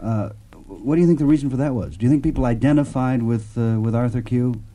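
A man hosting a relaxed interview. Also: medium pitch, fast. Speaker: host, interviewer.

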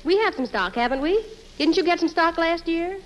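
A woman's voice: extremely high pitch, lively intonation, normal speed. An actress playing a chatty, fussy, nagging wife in a 1940s radio comedy, blithely needling her husband. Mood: chatty, oblivious. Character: chatty, nagging wife, blithely self-absorbed and penny-minded. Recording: noisy old radio recording, studio audience.

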